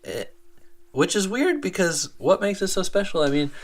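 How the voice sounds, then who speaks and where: A man's voice: high voice, very animated, normal speed. An American man co-hosting a casual show, reading out viewer questions and chatting about them without a script. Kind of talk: casual unscripted chat.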